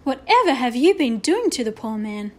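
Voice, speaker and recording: A storybook schoolmistress, put on by a woman narrator, flustered and old-fashioned. She sounds high and exclamatory, bustling along with quaint briskness. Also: sing-song, darkish tone. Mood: flummoxed.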